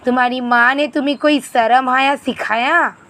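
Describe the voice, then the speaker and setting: A woman voicing a nagging, old-fashioned middle-aged Indian mother-in-law in a scripted animated moral story, with dramatic, scolding exclamations. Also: sits high, normal speed. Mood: scolding, demanding.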